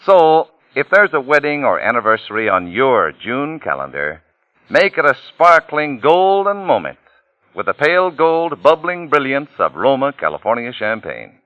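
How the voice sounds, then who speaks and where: Man, American accent, 1940s radio announcer, lively intonation, polished sales delivery, dry vintage broadcast recording. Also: mid-range voice, normal speed, no room sound, dull audio. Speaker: radio announcer and sponsor spokesman.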